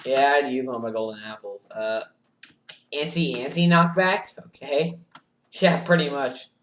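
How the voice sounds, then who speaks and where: A man streaming a game live, reacting excitably with yelps and shouts, keyboard clicking behind him. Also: mid-range voice, a little slow, reverberant, slight background noise. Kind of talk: live gameplay commentary. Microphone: desk mic with audible keyboard.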